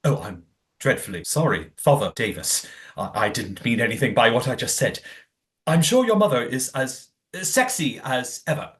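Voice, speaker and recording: Audio play role, performed by an actor: sweetly naive, genteel old hotel keeper, excitable, very animated delivery. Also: medium pitch, moderate pace, close to an everyday voice. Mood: polite, flustered. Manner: genteel, old-fashioned exclamations.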